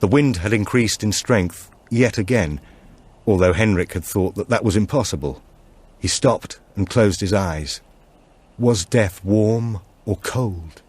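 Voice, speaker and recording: A man reading a supernatural thriller as an audiobook narrator, in a measured, deliberate storytelling manner. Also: fairly low pitch, darkish tone, husky.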